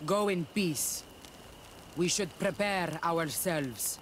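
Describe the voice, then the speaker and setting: A man's voice: a little high, slow, noisy background. A man playing a proud, stern rebel warrior leader in a video game, speaking bluntly and solemnly. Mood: resolute. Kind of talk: scripted video game cutscene dialogue.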